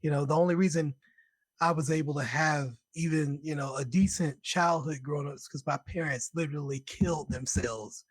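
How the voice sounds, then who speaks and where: Animated, laughing man from Alabama. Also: on the high side, normal speed, breathy edge, no room sound. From Birmingham, Alabama. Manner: animated storyteller, laughs often, bursts into excited quoted voices. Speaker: guest, concert composer. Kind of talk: casual conversation.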